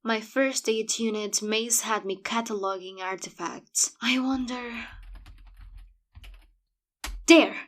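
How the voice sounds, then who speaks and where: An actress playing a jaded, dryly sardonic veteran agent, husky and clipped, in audio drama dialogue. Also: female voice, on the high side, a little slow, natural voice. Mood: intent. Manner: dry, clipped, deadpan.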